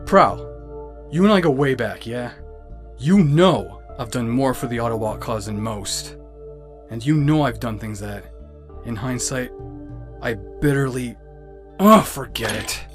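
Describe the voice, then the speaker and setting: Guy playing tired robot vet, casual but guarded, regular pitch, bit of music behind. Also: natural voice. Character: weary, guilt-ridden male robot veteran, casually defiant. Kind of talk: motion comic voice acting.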